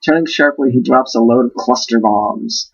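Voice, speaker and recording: Husky man reading aloud, dull recording. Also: medium pitch, normal speed. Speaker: host reading a book aloud. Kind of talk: storybook read-aloud with wry asides.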